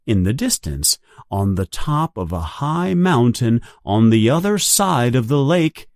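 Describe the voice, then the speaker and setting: A man narrating a read-along audiobook story in a deliberate, expressive manner. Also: medium pitch, no room sound, clean studio sound.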